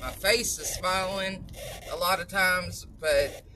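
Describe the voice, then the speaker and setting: A woman talks into her own vlog with a loud, strong voice, wandering from thought to thought with plenty of "um"s and long pauses. Her manner is blunt and outspoken. A steady hum of car and road noise sits clearly under her voice. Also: on the low side. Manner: rambling, halting with long pauses and filler words. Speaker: vlogger selling supplements. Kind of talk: casual chatty monologue.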